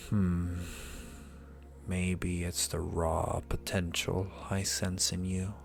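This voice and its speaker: Man as a very slow, low-voiced ancient dragon. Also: flat delivery, gravelly, faint music behind, exaggerated voice. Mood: musing, impressed. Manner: slow, teasing, condescending, intimate, formal archaic wording. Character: ancient male dragon familiar, powerful, possessive, amused, seductive. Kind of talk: ASMR roleplay.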